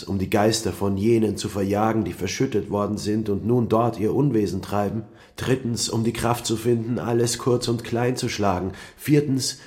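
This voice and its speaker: A man's voice: fairly low pitch, a little mellow. A man giving a dramatic scripted literary narration as the story's narrator.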